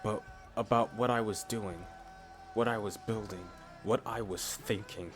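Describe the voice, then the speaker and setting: A man playing a blunt, steady, reassuring furry character in a scripted ASMR roleplay, speaking in short, deliberate phrases. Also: on the low side, natural voice. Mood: matter-of-fact, fond. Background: music.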